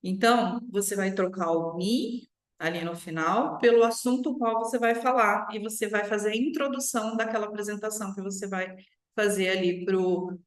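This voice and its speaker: Female online language teacher, Brazilian accent, mid-pitched voice, instructive with frequent check-ins; roomy, echoing recording. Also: steady tone, normal speed. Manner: instructive, often checks in with short tag questions. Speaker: English teacher. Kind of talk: online language lesson to viewers.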